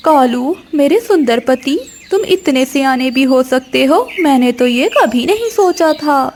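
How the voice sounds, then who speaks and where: A woman's voice: high-pitched, lively intonation, measured pace, darkish tone, slight background noise. A woman voicing a female crow, a pleading and reproachful wife in a children's cartoon. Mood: admiring. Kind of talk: children's cartoon story dialogue.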